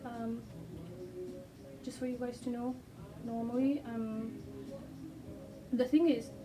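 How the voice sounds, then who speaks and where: A woman who speaks English as a second language, rambling hesitantly with many pauses as she sketches. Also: fairly high pitch, fairly even intonation, dark tone, a little hiss or hum. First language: not English. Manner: hesitant, many ums, self-deprecating. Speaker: artist sketching on camera. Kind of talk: casual rambling vlog while drawing. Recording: distant mic with background music.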